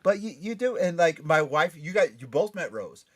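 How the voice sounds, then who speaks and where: A man's voice: a little high, animated, normal speed. A man hosting a casual panel show, bantering and introducing topics, often repeating phrases for emphasis. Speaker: podcast and radio show host.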